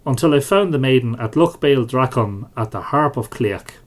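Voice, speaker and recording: A man's voice: medium pitch, animated, moderate pace, lightly acted. A man reads as a knowing fairy king from an Irish myth. He speaks with authority and an Irish accent, in formal storybook declarations. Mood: announcing.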